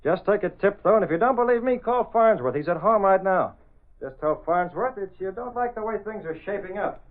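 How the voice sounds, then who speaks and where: An actor playing a confident, crafty masked crimefighter in an old radio adventure serial, assured and in command. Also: male voice, high-pitched, animated, brisk. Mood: sly, insistent. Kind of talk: 1930s-40s radio adventure acting. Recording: dull old-time radio recording.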